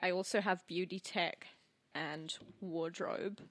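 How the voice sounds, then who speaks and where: A woman's voice: lowish voice, fairly even intonation, takes it slowly, dry recording. A woman talking casually as a player in a tabletop roleplaying game.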